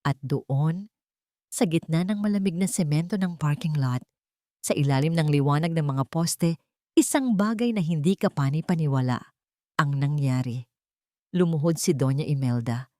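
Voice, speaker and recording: A woman's voice: deep voice, normal speed, dark tone, no room sound, studio quality. A Filipino woman reading a scripted dramatic story as its narrator.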